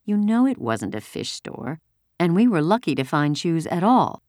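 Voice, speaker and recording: A patient mother played by a woman narrator in a children's storybook. Her voice sits low and moves expressively as she explains things soothingly, with gentle exasperation. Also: sing-song, moderate pace, dry recording, clean studio sound. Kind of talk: acted children's audiobook dialogue.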